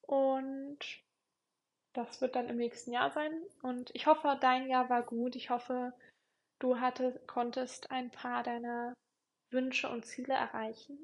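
A woman's voice: high-pitched, flat delivery, slow, dull audio. A young woman hosting her own podcast, chatting casually and reflectively, with many fillers and repeated words for emphasis. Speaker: podcast host.

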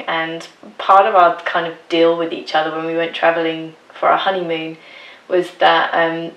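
An English woman telling her own story as a podcast guest, chatty and laughing often between phrases. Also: fairly low pitch. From England. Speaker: guest, freelance photographer. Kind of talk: casual personal storytelling. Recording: roomy, distant mic.

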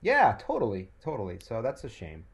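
An easygoing man hosts a casual podcast interview, nudging his guest along and chiming in often. His voice sits at a medium pitch. Spanish is his first language. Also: huge pitch swings, brisk, slightly rough. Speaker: podcast host.

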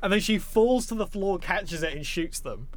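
A man with a fairly high-pitched voice chats casually as a podcast co-host. He is an enthusiastic, opinionated fan who talks films in an informal way. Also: animated, normal speed. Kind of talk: casual film discussion.

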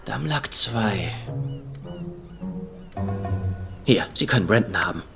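Cocky young fake psychic detective, playful n mock-dramatic, comedy series dub, music under it. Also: male voice, on the low side, lively intonation, normal speed, vocal fry. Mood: theatrical. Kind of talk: dubbed comedy crime series acting.

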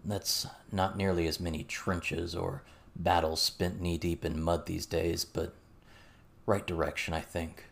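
A man's voice: low-pitched, little pitch movement, unhurried, vocal fry. A man reading a story as scripted audiobook narration. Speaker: audiobook reader.

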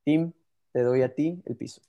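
A man in his twenties co-hosting a podcast, earnest and steady, now and then restarting a word. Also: mid-range voice, moderate pace, dull audio. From born in Ecuador, raised in California. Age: 20s. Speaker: podcast co-host. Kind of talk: scripted podcast intro and casual chat.

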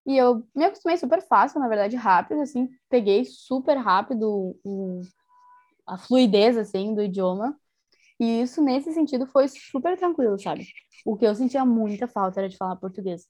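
A woman's voice: fairly high pitch, expressive, moderate pace, darkish tone. A young woman talking casually as an interviewee, chatty and enthusiastic, with many small fillers. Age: young adult. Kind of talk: casual conversation.

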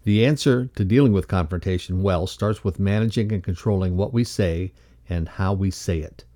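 A man's voice: sits low, moderate pace, dark tone. A man co-hosting a podcast and reading a scripted lesson on leadership. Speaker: podcast co-host and reader.

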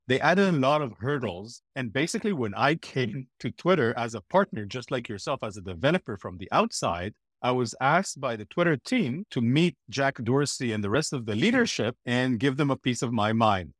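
A middle-aged man from Israel, a podcast guest telling a long story in a blunt, animated way. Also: mid-range voice, dry recording, studio quality. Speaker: podcast guest, former platform executive. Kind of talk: interview answer.

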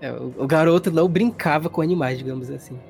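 A man's voice: a little high, fast talker, creaky, a little mellow. A man with a Brazilian accent narrating a tabletop horror game as game master in a casual, conversational way. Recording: music playing behind the voice.

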